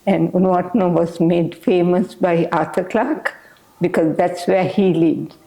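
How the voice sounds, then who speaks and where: An older woman from Sri Lanka, a guest on a podcast, reminiscing about her childhood in a relaxed, unhurried way. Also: lowish voice, vocal fry, rough recording. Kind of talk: casual interview. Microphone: podcast studio mic.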